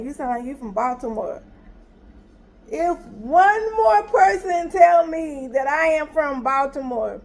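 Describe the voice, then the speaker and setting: A woman casually telling a story, acting out both sides of a conversation with laughter and exasperation. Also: sits high. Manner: animated retelling, acts out both sides with quoted dialogue.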